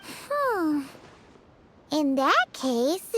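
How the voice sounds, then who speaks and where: A woman voicing a small, naive, curious childlike companion creature in a video game, with a squeaky voice. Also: big pitch swings, extremely slow, a bit bright, a little hiss or hum, full character voice. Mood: naive, puzzled. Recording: music playing behind the voice.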